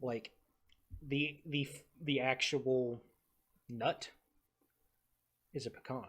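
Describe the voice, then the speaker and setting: A young man from the Texas Panhandle, co-hosting a podcast in casual chat, halting and repeating his words with many fillers. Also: mid-range voice, fairly even intonation, fairly bright tone. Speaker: podcast co-host.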